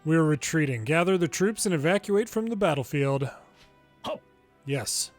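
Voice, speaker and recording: A man's voice: on the high side, lively intonation, normal speed, soft background music. A man reading video game dialogue aloud dramatically, acting out each character's lines in turn.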